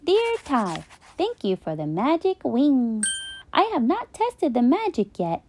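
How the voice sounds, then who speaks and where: A woman's voice: high-pitched, wildly swooping pitch, takes it slowly, a little mellow, heavily acted. A woman voicing a cheerful little girl reading her thank you letters in a children's storybook, with a sing-song manner. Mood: cheerful, grateful. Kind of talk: children's storybook read-aloud.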